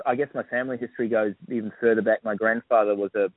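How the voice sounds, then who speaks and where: Halting low-voiced man on a phone line. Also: fairly even intonation, dry recording. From New Zealand. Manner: halting, many restarts and "you know". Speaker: bandleader and guitarist. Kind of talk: interview over the phone. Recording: dry tinny phone line.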